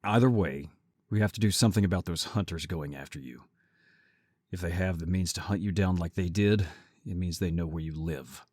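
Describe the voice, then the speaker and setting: Man as gruff, deep, husky werewolf brother. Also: moderate pace, a little mellow, no room sound, studio quality. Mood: calm, explaining. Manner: gruff, blunt, exasperated. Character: gruff protective werewolf brother, exasperated but caring. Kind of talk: audio story roleplay.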